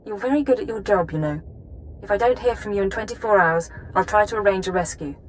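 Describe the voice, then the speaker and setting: Grave female spymaster, acted by a woman, faint rumble. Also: quick pace, close to an everyday voice, low-quality recording. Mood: subdued, sincere. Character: female intelligence chief, grave commanding spymaster, resolute. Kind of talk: video game dramatic acting.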